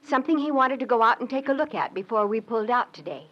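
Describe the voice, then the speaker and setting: A woman's voice: on the high side, expressive, fast. An actress playing an anxious homesteader wife and mother in a 1940s radio western. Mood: worried. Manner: anxious, earnest. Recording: dull old radio recording.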